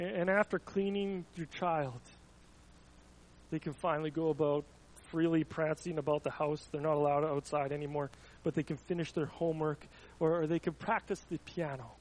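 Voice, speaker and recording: A man with a notably high voice delivers a sermon built around simple stories. He talks in an informal, storytelling way, often tripping over his words and restarting a phrase. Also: steady tone, normal speed, a little mellow, poor audio. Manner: storytelling, with frequent stumbling restarts. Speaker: preacher.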